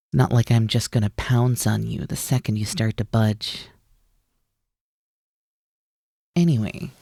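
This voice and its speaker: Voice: mid-range voice, darkish tone, no room sound, studio quality. A performer in an ASMR roleplay voicing a mischievous, teasing naga snake-demon roommate who coaxes playfully and likes to be in charge. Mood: playful, coaxing.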